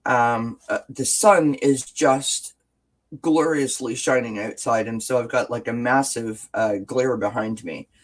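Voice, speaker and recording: A woman hosting a casual livestream from home with a stuffed-up nose, greeting viewers in the chat by name with pet names and often pausing on filler words. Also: extremely low pitch, poor audio. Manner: frequent filler words and restarts. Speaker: livestream host. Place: indoors at home, lights off, sunny window behind.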